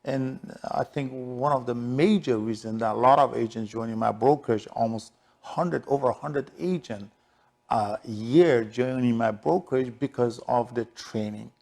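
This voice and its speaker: A middle-aged man speaks in a conversational interview register with an Iranian Persian accent. His voice sits at an ordinary pitch and has a noticeably creaky, gravelly grain. He sounds like a business guest answering questions. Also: normal speed, a little mellow. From Shiraz, southern Iran. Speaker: interview guest, real estate broker.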